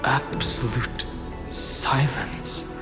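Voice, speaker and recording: A man playing a calm, guarded, protective young companion in game dialogue, terse and deliberate. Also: mid-range voice. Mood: wary, protective. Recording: loud music behind.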